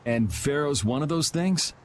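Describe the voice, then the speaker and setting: An actor playing a cool, wry young guild vigilante in a video game, speaking in a dry, casual, sardonic way. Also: male voice, on the high side, animated, unhurried, a little hiss or hum. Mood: wry, supportive. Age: young adult.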